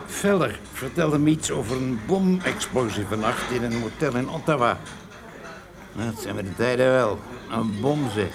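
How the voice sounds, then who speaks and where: An actor playing a man who makes deliberately casual small talk to pass on covert information in a radio play. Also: mid-range voice, expressive, creaky, noisy background. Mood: casual, guarded. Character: man covertly passing messages through loud café small talk. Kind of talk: radio drama dialogue. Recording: radio play with busy chatter behind. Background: café chatter.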